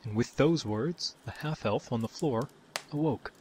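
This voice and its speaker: A man's voice: medium pitch, a little slow, a little mellow, a little hiss or hum. A man delivering scripted fantasy narration as the storyteller of an audio tale. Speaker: narrator, game-style storyteller.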